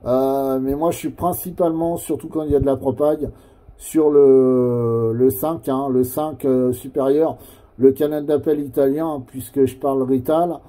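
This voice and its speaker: A man's voice: medium pitch, fairly even intonation, a little slow, dark tone. A man with a French accent chatting casually over amateur radio, explaining things in a rambling, hesitant way. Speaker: amateur CB radio operator who makes videos.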